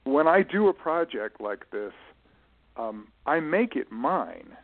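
A man giving thoughtful, explanatory interview answers in a halting way, often restarting and correcting himself as he goes. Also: mid-range voice, very animated, narrow, tinny audio. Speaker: guest, writer managing his late father's literary estate. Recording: band-limited radio-style voice recording.

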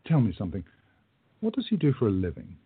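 Man playing husky, low-voiced time-travelling hero. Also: huge pitch swings, dry recording, full character voice. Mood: grave, knowing. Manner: measured, firm, hushed at times. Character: calm, protective male time-travelling bookshop owner. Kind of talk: radio play acting. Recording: narrow muffled phone-like audio.

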